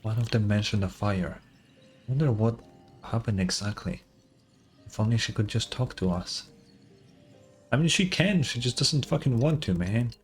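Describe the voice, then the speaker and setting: Guy with lowish voice reading game dialogue on stream, casual reactions, bit of music under. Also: lively intonation, normal speed, pauses often.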